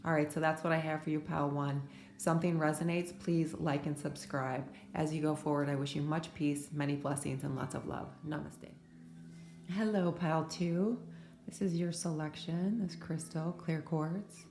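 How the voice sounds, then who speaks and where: A woman's voice: sits low, fairly even intonation, moderate pace, echoey room. A woman giving a soothing pick-a-card tarot reading, her voice a bit scratchy from allergies. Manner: soft, soothing spiritual talk with many "right?" asides. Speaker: tarot reader.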